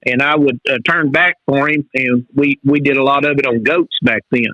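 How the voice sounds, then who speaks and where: A Texan man in his fifties, a college rodeo coach, telling stories in an easygoing drawl with many pauses. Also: medium pitch, steady tone, dry recording, highs cut off. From North Texas. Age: 50s. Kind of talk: casual podcast interview.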